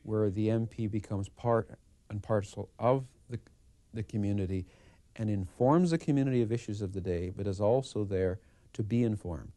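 A man's voice: fairly low pitch, normal speed, mellow, dry recording. A middle-aged man answering interview questions thoughtfully, with hesitations and restarts. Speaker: member of parliament interviewed.

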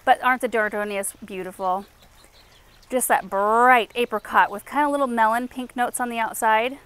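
A woman's voice: fairly high pitch, moderate pace, a bit bright, smooth. A woman in her thirties hosting a casual walking garden tour outdoors, chatty and enthusiastic as she points out plants. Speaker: garden show host.

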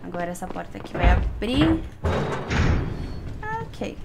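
Young Brazilian woman over loud game music. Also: lowish voice, lively intonation, vocal fry. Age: young adult. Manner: chatty, repeats words in quick bursts. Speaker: gaming YouTuber. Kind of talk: casual gameplay commentary. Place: indoor room, seated at computer. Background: loud game music and effects.

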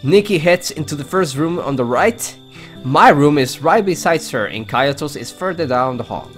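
A man's voice: on the high side, animated, normal speed, a bit bright. A man hosting a let's play, reading game text aloud with casual commentary. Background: game music.

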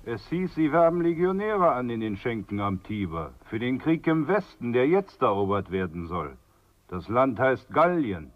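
A man's voice: mid-range voice, sing-song, normal speed, lightly acted. An actor playing an eager common Roman man passing on news in a radio play. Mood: excited. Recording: dull, band-limited sound.

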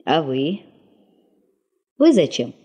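An actress playing a weary, bitter middle-aged secretary in a 1970s Soviet radio drama, with an expressive, rueful manner. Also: female voice, deep voice, slow pace, slightly rough, heavily acted. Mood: wry, reproachful. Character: weary, bitter older secretary, a bereaved mother in the north.

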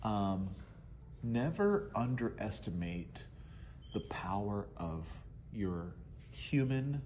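Earnest man, low voice, interview. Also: very animated, takes it slowly, a little mellow, a little hiss or hum. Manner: earnest, reflective, gestures while talking. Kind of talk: casual interview answers. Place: large indoor lounge with tables.

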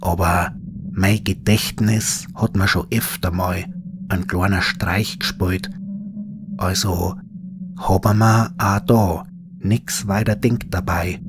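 A man with a Bavarian accent narrating a scripted horror story in dialect, measured and full of pauses, with phrase endings trailing into breath. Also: sits low. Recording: clearly noisy ambient background.

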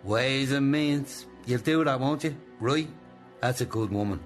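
A male actor as an ageing Irish boss in a radio play. He coaxes deliberately, with a benign surface over controlling menace. Music sits faintly behind his medium-pitched voice. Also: close to an everyday voice. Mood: brisk, commanding. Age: older. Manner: slow, deliberate, coaxing. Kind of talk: radio drama acting.

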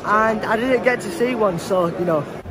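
A young man, vlogging from a convention hall with a phone at arm's length, mock-polite and excitable. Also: high voice, moderate pace, very noisy. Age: young adult. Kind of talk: comic vlog at a magic convention. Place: large indoor hall. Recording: loud crowd and music behind. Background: crowd chatter and music.

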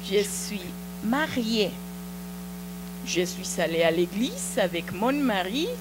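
A middle-aged Mexican woman with a Mexican Spanish accent teaches French in a TV studio, repeating phrases slowly and patiently into a handheld mic. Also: medium pitch, expressive. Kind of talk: slow drilled language lesson. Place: small TV studio set. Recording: studio recording with audible mains hum. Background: mains hum.

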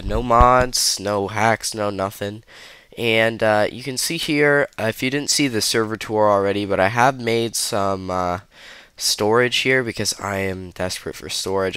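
A man's voice: lowish voice, a bit bright, smooth, no room sound. A young man with a stuffy nose, hosting a casual game commentary and rambling through it with many ums and uhs. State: stuffy, under the weather. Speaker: gaming video host.

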